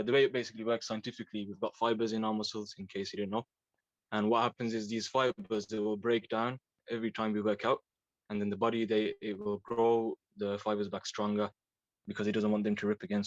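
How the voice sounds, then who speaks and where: A man's voice: lowish voice, level tone, fast talker, no echo, dull audio. A man of twenty-one who grew up in Belgium, a Thai boxing coach giving an explanatory online talk with fillers and self-corrections. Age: 20s.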